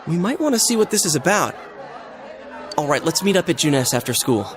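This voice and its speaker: An American man voicing an earnest, excitable teenage schoolboy in a video game, talking in a casual, expressive way. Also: fairly high pitch, fairly quick. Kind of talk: English video game voice acting. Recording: background music and crowd chatter behind.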